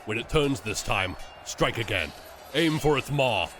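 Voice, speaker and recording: A man playing a calm, seasoned witch hunter. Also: mid-range voice, measured pace, music in the background, lightly acted. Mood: steady.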